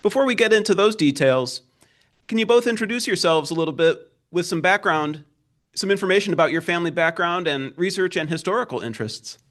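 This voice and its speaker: A man's voice: sits high, lively intonation, fairly quick, a bit bright. A man working as a reporter, hosting a formal interview and introducing his guests and questions.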